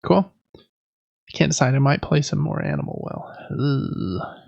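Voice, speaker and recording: A man's voice: mid-range voice, wildly swooping pitch, measured pace, gravelly, darkish tone, no echo, muffled recording. A man hosting a podcast in casual chat, rambling a little and correcting himself as he goes. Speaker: podcast host. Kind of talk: casual chat about video and board games.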